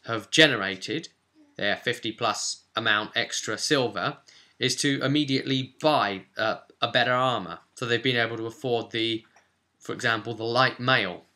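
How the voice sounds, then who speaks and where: Chatty man with a bright, forceful voice. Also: mid-range voice. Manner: chatty, checks in with "okay?" and "right?". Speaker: presenter of a tabletop roleplay primer. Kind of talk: informal explainer, conversational tutorial.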